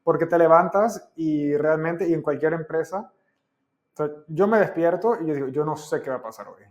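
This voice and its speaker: A man's voice: fairly high pitch, moderate pace, darkish tone, muffled recording. A man from the Americas hosts a podcast interview, talking in a loose, conversational way and restarting his sentences. His voice sits a little above the usual male register. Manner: conversational, restarts and rambling questions. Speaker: podcast host.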